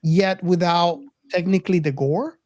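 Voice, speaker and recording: A man's voice: sits high, fairly even intonation, measured pace, a little mellow, no room sound, clean studio sound. A middle-aged man co-hosting a podcast in casual film discussion, reflective and often searching for his words. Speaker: podcast co-host.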